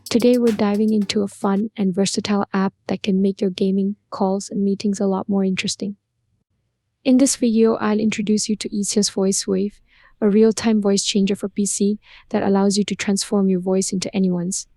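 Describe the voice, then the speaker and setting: A woman reads a scripted software promotion in a smooth, evenly paced voice-over. Her intonation stays very flat, and her tone is mellow and warm. The recording is dry and close, with no room sound. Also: mid-range voice, normal speed, dry recording. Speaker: product promo narrator.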